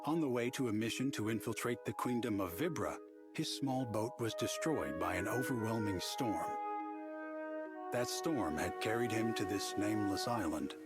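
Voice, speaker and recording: An actor playing a composed narrator, telling a fantasy game tale in a measured, literary style. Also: mid-range voice, expressive, over loud music, natural voice. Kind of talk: scripted narration.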